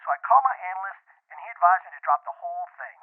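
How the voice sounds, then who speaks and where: A man's voice: high voice, animated, very fast. A man playing a fretful, fussy businessman over a telephone in an amateur radio drama. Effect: telephone filter. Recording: thin tinny telephone line sound.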